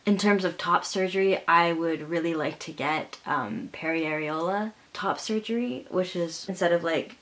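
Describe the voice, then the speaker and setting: A young man talking casually to camera in a personal vlog, halting and full of fillers. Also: on the high side, sharp tone. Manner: halting, with many fillers and restarts. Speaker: vlogger.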